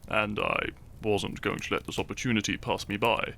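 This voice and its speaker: A man playing an earnest, shy young hero in a roleplay audio drama, speaking to the listener in a halting, theatrical way. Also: on the low side, little pitch movement, vocal fry, sharp tone. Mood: fond, relieved. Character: shy young hero, earnest, secretly fond of his foe.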